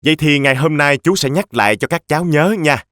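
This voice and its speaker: A man's voice: on the high side, quick pace, bright, forceful tone, no room sound. Patient cartoon policeman, dry sound. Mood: instructive. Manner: patient, instructive, encouraging. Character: kindly, patient adult male police officer. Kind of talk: children's cartoon dubbing.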